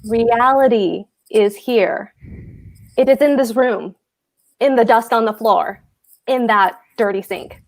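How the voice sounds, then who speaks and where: A woman's voice: a little high, takes it slowly. A woman reading the part of a pompous, anxious husband, a fussy government clerk, at a table read of a stage comedy. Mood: lecturing, fretful. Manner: pompous, hectoring, fretful.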